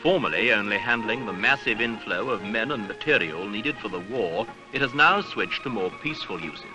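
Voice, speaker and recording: A man reading a scripted documentary newsreel narration in a measured, grave, rhetorical manner, on an archival seventies soundtrack. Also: fairly low pitch, lively intonation, over music, low-quality recording.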